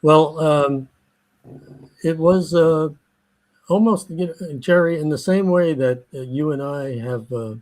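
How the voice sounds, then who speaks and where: An older American man giving an oral history interview, speaking slowly and haltingly with many fillers and restarts. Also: a little high, a little mellow, poor audio. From United States.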